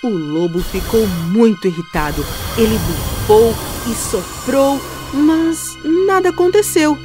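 Lively woman narrating a children's story. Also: fairly high pitch, takes it slowly, music in the background.

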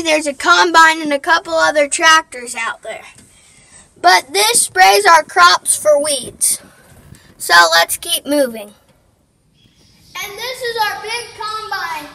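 A woman's voice: very high voice, bright, forceful tone. A teenage girl giving a casual walking tour of her family farm outdoors, talking plainly and tying her points together with lots of "so". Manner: chatty, plain, many "so" fillers.

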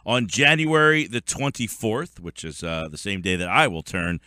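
Man, around 40, sports radio host, bright voice, dry close mic. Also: fairly low pitch, moderate pace, no echo. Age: 40s.

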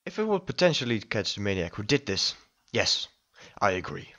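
A man's voice: lowish voice, very animated, moderate pace, pushed and bright, full character voice. A man playing a stiff, indignant president in an audio drama. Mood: grudging. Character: stiff, indignant male president among murder suspects. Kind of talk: scripted audio drama acting.

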